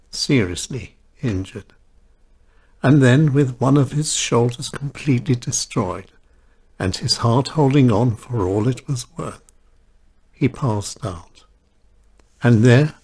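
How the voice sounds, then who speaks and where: A man with a husky voice reading short stories in a measured, dramatic way, with short phrases and pauses. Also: medium pitch, darkish tone. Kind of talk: scripted short story narration.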